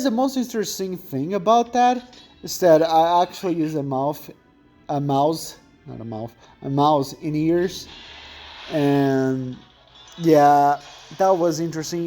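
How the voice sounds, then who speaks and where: A man's voice: on the high side, moderate pace, warm tone, faint music behind. A young man with a thin voice chatting casually and rambling while commentating on his gameplay, often correcting himself mid-sentence.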